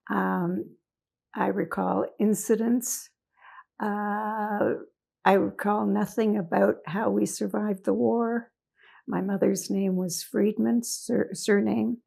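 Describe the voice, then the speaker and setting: A woman in her eighties, originally from Hungary, giving a recorded oral history interview in Toronto, speaking haltingly with many pauses and fillers. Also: mid-range voice, creaky, darkish tone. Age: 80s. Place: indoors in Toronto.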